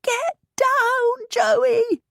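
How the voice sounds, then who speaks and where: Woman acting out an anxious mother, high and urgent. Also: steady tone, drawn-out words, gravelly, dry recording, studio quality, exaggerated voice. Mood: panicked yelling. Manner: urgent, emphatic, breaks into yelling. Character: anxious, defensive mother, panicked and shrill under stress.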